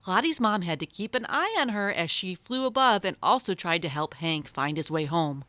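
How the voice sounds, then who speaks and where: A woman's voice: fairly low pitch, lively intonation, normal speed, pushed and bright, no echo, clean studio sound. A woman narrating a storybook for young children as a storyteller.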